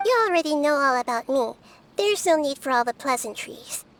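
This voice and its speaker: A woman voicing a small, child-like, wise goddess who is calm and gentle, in video game acting. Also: high voice, normal speed, a little hiss or hum. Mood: calm, probing.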